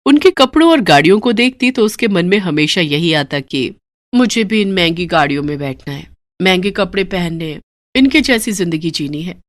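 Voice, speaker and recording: A woman's voice: medium pitch, no room sound, studio quality. A woman narrating a story in a dramatic, scripted storytelling style.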